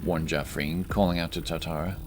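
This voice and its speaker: A man's voice: very deep voice, steady tone, fairly quick, background noise. A man reading scripted, dramatic narration for a fantasy adventure story.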